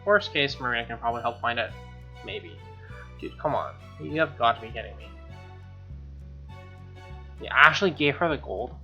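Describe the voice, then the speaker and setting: Casting: young male gamer, bright forceful voice, slangy and exasperated, narrating a playthrough on a muffled mic. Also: medium pitch, lively intonation, moderate pace, long pauses. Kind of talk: casual commentary and read-aloud narration. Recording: dull lo-fi mic, music underneath. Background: game music.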